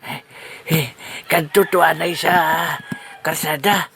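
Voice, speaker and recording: A man playing a flustered, out-of-breath Filipino character in a comic audio drama, halting and husky. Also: on the high side, steady tone, bright, forceful tone, a little hiss or hum, full character voice. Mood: flustered, winded. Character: halting, weary man called Pre Dumeng.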